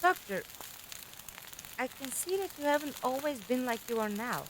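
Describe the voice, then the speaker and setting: A woman acting in a fan audio drama as a bewildered, earnest, quick-witted companion who questions everything. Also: high voice, expressive, fairly bright tone, slight background noise. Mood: eerie wonder.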